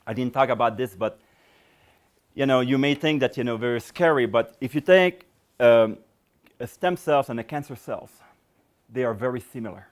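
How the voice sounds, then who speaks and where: A middle-aged man giving a public science lecture and taking questions, talking in a loose, rambling way with many fillers, his voice going hoarse. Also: mid-range voice, moderate pace, long pauses. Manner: rambling, many "you know" fillers and restarts.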